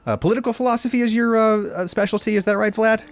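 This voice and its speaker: A man's voice: high voice, steady tone, fast talker. An American man hosting a podcast interview, thinking aloud with a lot of ums and uhs. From United States. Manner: rambling, thinking aloud with many fillers. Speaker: podcast host.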